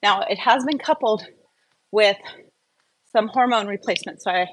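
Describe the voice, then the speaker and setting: A woman's voice: mid-range voice, moderate pace, a little hiss or hum, rough recording. A woman chatting casually and candidly in a personal vlog, opinionated and prone to rambling off on tangents. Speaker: wellness vlogger.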